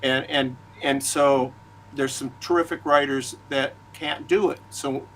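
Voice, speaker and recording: A man who is a guest author in a casual discussion, speaking haltingly and often repeating words as he gathers his thoughts. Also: medium pitch, fairly even intonation, a bit bright, a little hiss or hum, low-quality recording. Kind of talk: casual discussion of film and writing.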